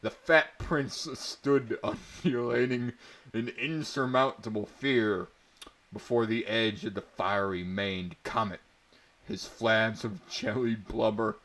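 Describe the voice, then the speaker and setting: A man's voice: on the low side, a bit bright. A man reading a pulp fantasy story aloud as narrator, in a theatrical, mock-serious dramatic style.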